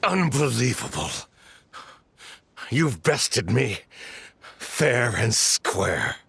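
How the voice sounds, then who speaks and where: An actor playing a bitter, shaken smuggler villain in a video game, gravelly, breathy, halting and dramatic. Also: male voice, mid-range voice, big pitch swings, fairly bright tone, exaggerated voice. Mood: bitter defeat.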